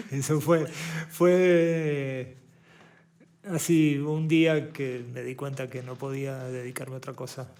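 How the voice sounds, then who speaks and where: A man from Uruguay with a Rioplatense Buenos Aires accent, a visual artist talking as a guest in an interview, thoughtful and halting, often restarting his phrases. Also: medium pitch, fairly even intonation, a little mellow. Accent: Rioplatense Spanish, Buenos Aires. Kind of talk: relaxed interview conversation.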